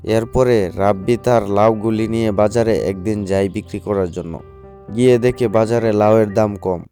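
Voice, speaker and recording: Male cartoon storyteller over soft guitar music. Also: fairly low pitch, fairly even intonation, normal speed. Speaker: narrator. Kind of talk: scripted storybook narration for a cartoon. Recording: faint music behind the voice.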